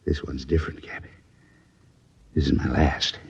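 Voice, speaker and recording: A very deep-voiced brawler of a man, now worn out and fading. The voice is extremely husky and breathy, weary and fatalistic, on a dull old radio drama recording. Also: little pitch movement, unhurried, heavily acted. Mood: resigned, fading. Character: big, brawling, tough male crewman, worn down and dying.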